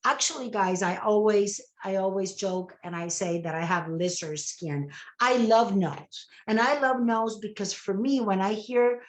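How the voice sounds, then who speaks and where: A woman's voice: medium pitch, unhurried. A middle-aged woman with a Puerto Rican Spanish accent, whose first language is Spanish, giving an enthusiastic motivational talk to a business team and often adding "okay?". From Puerto Rico. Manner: enthusiastic, repeats words and tags "okay?". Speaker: network marketing director.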